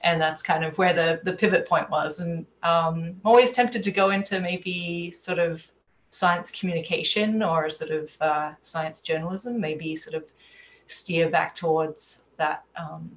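An Australian woman with some American in her accent speaks in a notably low voice. She is an easygoing podcast guest chatting casually from home, with some faint noise behind her. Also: a little slow, muffled recording. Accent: Australian with American influence. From Adelaide, Australia. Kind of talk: casual interview chat. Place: at home, remote call.

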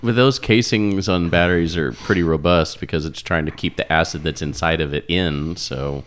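Male co-host, very low voice, plain and matter-of-fact. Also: lively intonation, moderate pace, a little hiss or hum. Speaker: podcast co-host. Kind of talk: casual chat.

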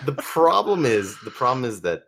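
A man's voice: mid-range voice, big pitch swings, moderate pace, gravelly, fairly bright tone. A teenage boy whose first language is Romanian, rambling animatedly as a caller on a call-in show and often restarting his sentences. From United States and Romania. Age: teens. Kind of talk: casual chat on a call-in show.

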